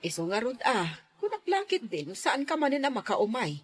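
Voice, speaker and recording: A woman plays a chatty, teasing friend in a radio drama, with a high voice, animated pitch. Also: normal speed, breathy edge.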